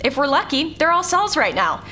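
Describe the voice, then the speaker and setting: A woman playing a snarky, confident space scientist in an audio drama, brisk and easily exasperated. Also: high voice, very animated, sharp tone, slight background noise, heavily acted. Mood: confident, keen. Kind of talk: scripted audio drama acting.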